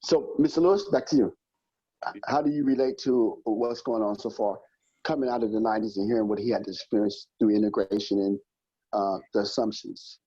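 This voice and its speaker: A man's voice: fairly low pitch, fairly even intonation, brisk, warm tone, breathy edge, no echo, highs cut off. A man hosting a podcast interview, speaking to the listening audience and steering the questions. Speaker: host.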